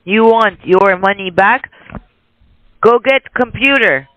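A man with a high-pitched, bright voice gives curt, pushy orders over the phone. He sounds impatient, and the dry recording is somewhat muffled. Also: takes it slowly, no echo. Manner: pushy, impatient, clipped commands. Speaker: scam call-centre caller. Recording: dull lo-fi dry phone call.

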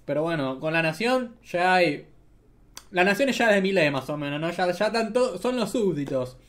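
A young man with an Argentine accent talks through political news in a loose, sarcastic way, tossing in tag questions as he goes. His voice sits a little high for a man. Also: expressive, moderate pace, fairly bright tone. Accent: Argentine Spanish. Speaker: political commentary host. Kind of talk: casual political commentary over clips.

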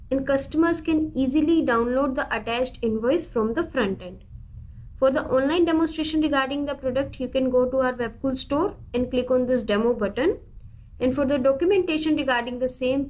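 A woman narrating a scripted, step-by-step software demo in an instructional, deliberate manner. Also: high-pitched. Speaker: software tutorial presenter.

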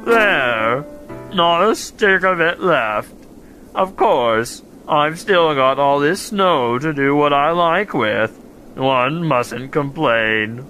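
A man's voice: fairly high pitch, lively intonation, bright, forceful tone, soft background music, heavily acted, low-quality recording. A man playing a gloomy, self-pitying donkey in an audio drama, with mournful, understated complaints. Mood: glum, resigned. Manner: slow, mournful, understated, long-suffering complaints.

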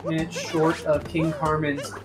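Male co-commentator, Midwestern American, slightly high voice, casual and joking, game music behind. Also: little pitch movement, unhurried. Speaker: esports speedrun commentator. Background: video game music.

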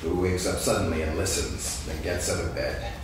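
Casting: deep, calm male storybook narrator, far-off echoing hall, audible hiss. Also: moderate pace. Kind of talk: storybook narration for a staged reading.

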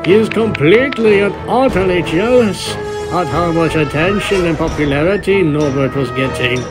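A man's voice: fairly high pitch, normal speed. A man reading a scripted story aloud as its narrator. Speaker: narrator of a fan fiction reading. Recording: music bed behind the voice.

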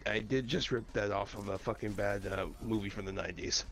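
A man on voice chat during an online match, speaking with a lowish voice. He stays laid-back, offering calm tactical suggestions between jokes. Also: a little fast. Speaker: gamer playing with friends. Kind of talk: casual game chat.

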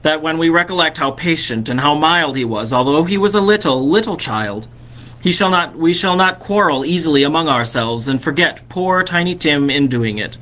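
A man reading aloud, voicing a gentle, loving father who speaks tenderly and reassuringly. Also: fairly high pitch, animated, moderate pace.

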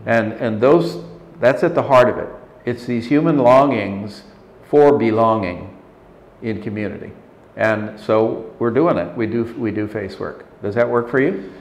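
A man in his sixties, an interview guest, talking in a measured, thoughtful way with frequent restarts in an office meeting room. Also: medium pitch. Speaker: interview guest, leadership consultant. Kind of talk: reflective interview talk. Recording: roomy indoor sound.

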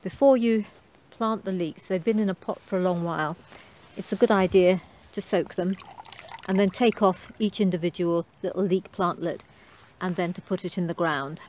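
A middle-aged woman talks through her gardening in a relaxed, homely way, her voice sitting a little low. She fills pauses with ums as she goes. It sounds like a phone recording made outdoors in a garden. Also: slight background noise. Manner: chatty, homely, with frequent ums. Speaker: gardening vlogger. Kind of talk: casual gardening commentary. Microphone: handheld phone camera.

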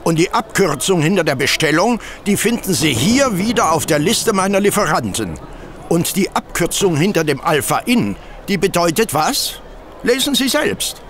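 An actor playing a gruff, irritable, stubborn poultry dealer in an audio drama, brusque and combative. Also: male voice, high voice, big pitch swings, normal speed, fairly bright tone, a little hiss or hum. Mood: smug. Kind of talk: German audio drama acting.

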